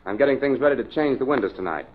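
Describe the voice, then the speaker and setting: An actor playing an obliging, slightly fussy department store window decorator in a 1940s radio drama, explaining politely. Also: male voice, medium pitch, animated, rapid-fire, a little hiss or hum, natural voice. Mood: polite, busy. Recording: dull, lo-fi 1940s radio recording.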